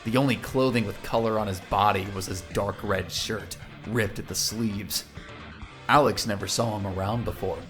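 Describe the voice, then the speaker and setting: A man's voice: on the low side, fairly even intonation, normal speed, over loud music. A man narrating an audio drama from a script.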